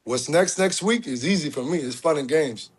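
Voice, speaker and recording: A man's voice: a little high, lively intonation, moderate pace, breathy edge. A man from Cuba, a fighter guest on a podcast, answering in a humble but confident, easygoing way. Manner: humble, confident, often says "you get me" and "yes sir". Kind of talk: casual interview answers.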